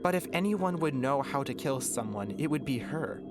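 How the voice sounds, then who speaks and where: A man voicing a decisive, guarded woman who leads prisoners, speaking earnestly and often hushed. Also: mid-range voice, lively intonation, normal speed, loud music behind the voice, pitched above the performer's own voice, natural voice.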